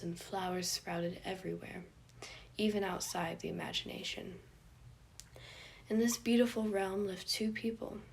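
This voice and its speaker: A woman reading scripted fiction as a narrator, with a slightly husky, breathy voice. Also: mid-range voice, a little hiss or hum. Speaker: podfic narrator.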